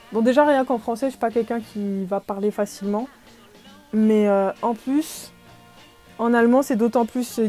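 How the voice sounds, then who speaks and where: A woman's voice: a little high, warm tone. A young woman from France chatting casually in a podcast-style vlog, self-deprecating, with many fillers and small laughs. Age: young adult. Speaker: vlogger.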